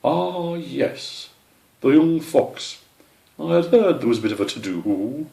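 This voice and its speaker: A man's voice: fairly high pitch, animated, takes it slowly, creaky, dark tone, pitched above the performer's own voice, heavily acted. A man voicing a wise, elderly male owl professor in a children's storybook, donnish and slightly pompous. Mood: courteous. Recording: roomy, echoey sound.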